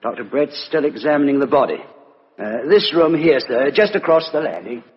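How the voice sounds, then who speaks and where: A man's voice: mid-range voice, lively intonation, moderate pace, slight background noise, lightly acted. Police sergeant male actor: British, orderly and respectful, varied intonation, reverberant vintage radio drama. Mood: dutiful. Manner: clipped, orderly reporting. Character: dutiful, deferential British police sergeant giving report. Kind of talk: 1950s radio drama acting. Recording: muffled, echoey 1950s radio recording.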